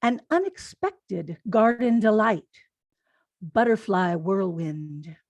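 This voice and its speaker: A woman reads short poems at a slow, measured pace, leaving space between phrases. Her voice sits at a middle pitch, and the sound is close and dry. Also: animated, no echo. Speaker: haiku poet. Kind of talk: poetry reading.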